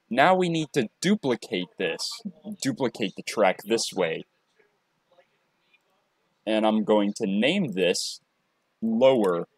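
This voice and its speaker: A man presenting a casual software tutorial, talking in a halting way and often repeating his phrases. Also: mid-range voice, husky, no echo. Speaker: tutorial presenter and hobby voice actor.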